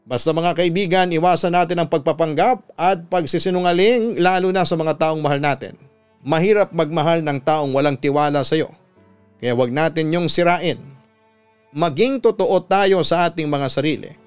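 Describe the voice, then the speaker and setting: A Filipino man hosting a radio story program, reading scripted listener letters and giving advice in an earnest, measured way. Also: a little high, clean tone. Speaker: radio host and story narrator. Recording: music under the voice. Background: background music.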